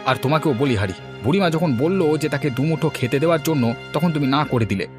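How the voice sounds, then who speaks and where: A man's voice: a little high, lively intonation, quick pace, over music, close to an everyday voice. A man voicing a hard-pressed village farmer husband in a cartoon, grumbling and placating. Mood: scheming, resigned. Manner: protesting, placating. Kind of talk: Bengali cartoon dubbing.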